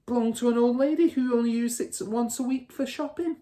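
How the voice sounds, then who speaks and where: A man voicing a boastful, bullying car-dealer father in a storybook, loud and scornful. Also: very high voice, a little fast, pitched above the performer's own voice.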